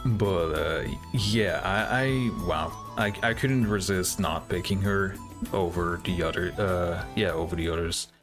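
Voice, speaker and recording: A man's voice: low voice, measured pace. A man hosting a casual reaction video, thinking aloud in hesitant fragments. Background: game trailer music.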